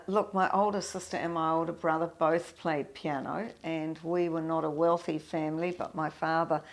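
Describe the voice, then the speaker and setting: An older woman, reminiscing in an interview in a halting, thoughtful way with many pauses. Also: on the low side. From New Zealand. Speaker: guest, pianist and composer.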